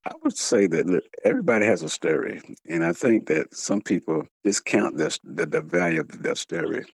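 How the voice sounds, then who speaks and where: A man speaking as a guest author in a reflective interview, earnest and halting, with a slight speech impediment that makes him repeat words. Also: on the low side, sing-song, creaky, husky, dry recording. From United States.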